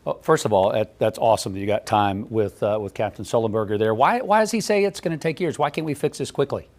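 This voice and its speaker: A man's voice: lowish voice, lively intonation, quick pace, a little mellow. An American man anchoring television news in conversational studio chat.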